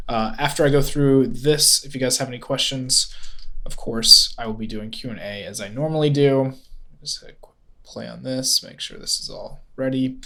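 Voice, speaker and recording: A man's voice: mid-range voice, a bit bright. A man with a congested, nasal head-cold voice, casually hosting a live-stream tutorial and greeting chat viewers with plenty of ums. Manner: loose and chatty, with frequent ums and self-corrections.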